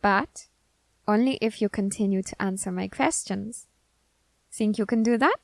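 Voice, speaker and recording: A woman voicing a curious, androgynous grim reaper in an ASMR roleplay who questions a captive demon in a slow, teasing, softly whispered way. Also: mid-range voice, vocal fry, no echo, studio quality.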